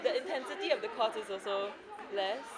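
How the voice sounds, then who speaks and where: A woman in her twenties from Singapore, chatty and lively in a casual interview. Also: on the high side, a little fast, a loud crowd. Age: 20s.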